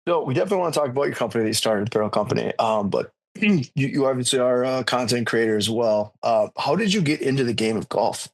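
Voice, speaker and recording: Brief: a friendly, upbeat podcast host with a mid-range voice who introduces the guest. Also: male voice, medium pitch, expressive, fast. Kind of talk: podcast intro and interview questions.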